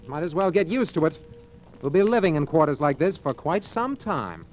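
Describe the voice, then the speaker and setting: A resigned, honest American bookkeeper in an old-time radio play. His voice sits a little high and he talks plainly and dryly, with faint music on an aged recording. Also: very animated, normal speed, darkish tone. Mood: glum, dry. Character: glum, sensible, honest bookkeeper, resigned to trouble. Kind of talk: 1940s-50s American radio drama acting.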